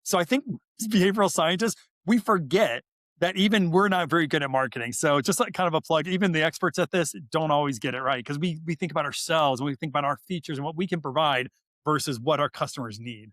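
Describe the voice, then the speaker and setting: A man's voice: a little high, quick pace, fairly bright tone, dry recording. A man speaking as a guest expert on a podcast, explaining things conversationally in an emphatic, informal way and repeating words for stress. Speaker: guest expert, author and behavioral scientist.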